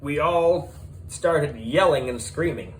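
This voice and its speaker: A man narrating a children's audiobook in an excitable, playful storytelling manner. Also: fairly high pitch, slow, a little hiss or hum.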